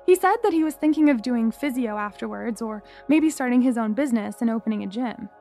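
A woman's voice: fairly high pitch, animated, fairly quick, warm tone, soft background music. A young woman from Singapore narrating her own story in a wry, candid, first-person storytelling way.

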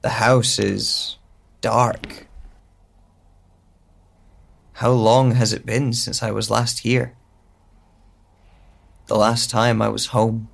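A man acts as a lonely, bewildered man narrating his own confusion in an audio drama. His voice is low and gravelly, with long, hesitant pacing. He sounds introspective and unsure of what he remembers. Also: level tone, extremely slow, long pauses, heavily acted. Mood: searching, wistful. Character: bewildered lonely man with lost memory exploring his home. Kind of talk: slow first-person audio drama monologue.

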